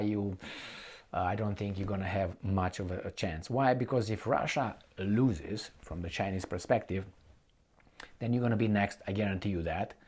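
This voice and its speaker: A man giving an unscripted, opinionated political commentary, speaking bluntly and colloquially, with halting repetition and rhetorical questions to the listener. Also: lowish voice, a little mellow, slightly rough. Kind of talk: unscripted opinionated monologue to viewers.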